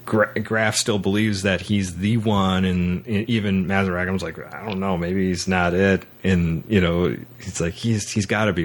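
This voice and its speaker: A man hosting a podcast, talking casually about a film and haltingly repeating words as he recaps. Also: low voice. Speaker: podcast host.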